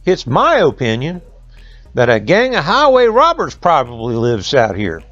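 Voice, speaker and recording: Guy doing a wise old donkey from a folk story, measured n folksy, kinda high. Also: huge pitch swings, fairly bright tone, no room sound, highs cut off, soft background music, exaggerated voice. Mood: pondering.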